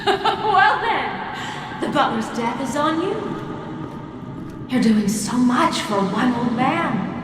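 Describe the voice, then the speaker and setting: A masked game villainess out for revenge, high taunting voice, huge echo, noisy. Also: female voice, expressive. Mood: mocking. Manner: theatrical, taunting, deliberate. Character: vengeful masked female villain, menacing and self-righteous. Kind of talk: video game cutscene acting. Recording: distant, heavily echoing hall, clear background noise.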